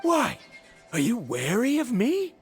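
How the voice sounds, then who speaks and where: An actor playing an obsessive, excitable scholar in a video game, speaking in a dramatic, grandiose manner. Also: mid-range voice, slow pace, soft background music, full character voice. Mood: desperate. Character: obsessive, excitable scholar desperate to reclaim lost research.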